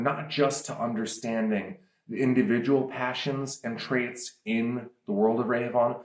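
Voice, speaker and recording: A man's voice: medium pitch, a little mellow. Author type, male, conversational and explanatory, a few ums, recorded in a roomy, echoey space. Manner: casual, explanatory, with frequent ums. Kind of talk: author commentary. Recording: roomy echo.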